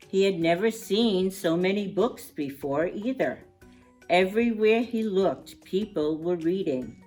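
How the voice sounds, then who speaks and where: A woman reads a children's picture book aloud at an unhurried pace, in a slightly low voice. She stretches key words expressively and speaks to her young listeners in chatty asides. Soft music is present.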